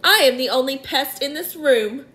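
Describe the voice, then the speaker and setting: A boastful, grinning centipede who crows about himself in a high, gleeful voice, as a woman reading a storybook puts him on. He talks loudly and loves to show off. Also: big pitch swings, a little slow. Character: boastful grinning male centipede, a cheeky rascal.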